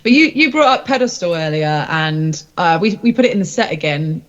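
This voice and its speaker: A woman's voice: lowish voice, big pitch swings, a little fast, lo-fi sound. A woman chatting casually as an interview guest, with an informal, rambling, giggly manner. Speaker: rock band singer interviewed as guest. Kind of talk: casual chat.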